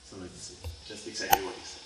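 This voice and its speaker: German male interviewer in a very echoey room. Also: brisk. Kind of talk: casual interview questions. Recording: very echoey room, distant mic, faint noise.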